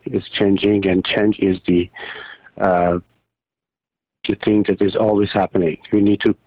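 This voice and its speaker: Halting Iranian-born man on a phone line. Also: deep voice, no echo. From Iran. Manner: hesitant, many fillers and restarts. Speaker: guest, surgeon and poet. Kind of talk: halting interview answers.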